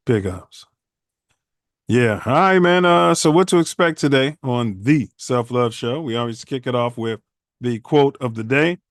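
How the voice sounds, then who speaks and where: A man hosts a casual podcast, rambling along in loose slang. His voice is smooth and clear. The sound is dry and close. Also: medium pitch, no echo. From Chicago. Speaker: podcast host. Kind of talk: casual livestream podcast chat.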